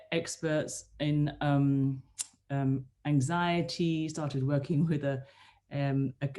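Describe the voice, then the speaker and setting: A woman's voice: low voice, a little mellow. A woman musician talking over a video call, earnest and searching, often restarting her sentences. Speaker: musician guest.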